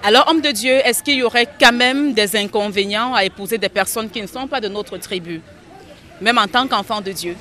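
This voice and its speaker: High-pitched, bright male interviewer. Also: normal speed. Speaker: interviewer, show host. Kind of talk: interview questions on a religious show.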